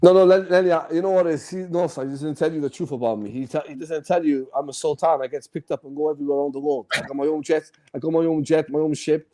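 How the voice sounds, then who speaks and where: A man's voice: fairly high pitch, fairly even intonation, fast, hardly any pauses, mellow. A man with a London accent on a football fan live stream, joking and boastful, repeating his points emphatically. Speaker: fan channel host. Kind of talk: casual football fan chat.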